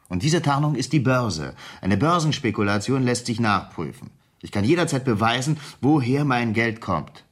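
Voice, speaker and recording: An actor playing a vain, bitter and ruthless schemer, commanding and coolly self-assured, in a radio crime drama. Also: male voice, medium pitch, fairly bright tone. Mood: bitter, proud.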